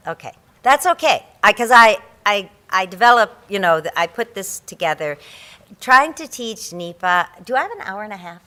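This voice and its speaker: A woman's voice: medium pitch, big pitch swings, normal speed, pushed and bright. A woman presenting a conference session on environmental law, chatty and self-deprecating, often restarting her sentences, speaking into a microphone in a large room. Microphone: handheld or podium microphone.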